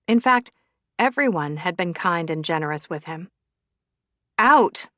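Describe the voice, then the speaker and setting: A woman's voice: lowish voice, expressive, normal speed, no room sound. Woman narrating a romance audiobook, dry sound. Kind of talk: scripted romance novel narration with acted dialogue.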